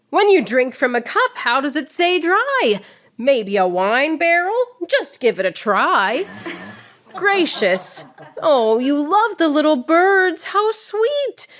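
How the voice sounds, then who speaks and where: A woman's voice: high-pitched, fairly bright tone, exaggerated voice. A woman playing a proud, witty swordswoman poet in radio theatre, declaiming verse with mocking precision. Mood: mocking, playful.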